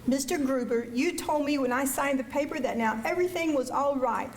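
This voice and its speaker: A woman's voice: high-pitched, a little fast, slight background noise, natural voice. A woman playing a polite, aggrieved young hired housekeeper in a staged radio western, earnest and deferential. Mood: pleading. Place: high school auditorium stage. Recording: echoey auditorium recording.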